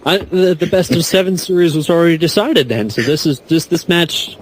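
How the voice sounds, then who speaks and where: A man's voice: on the high side, brisk, a little hiss or hum, poor audio. A man on a podcast panel bantering casually, swearing and riffing jokingly. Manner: jokey, swearing, riffing with mock voices. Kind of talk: joking casual group chat.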